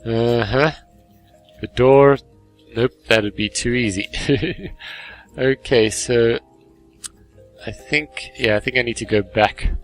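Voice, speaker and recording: A man hosting a gaming video with casual, chatty, easily amused commentary on a game as he plays it. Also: fairly low pitch. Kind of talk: casual let's play commentary, reading game dialogue aloud. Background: game music.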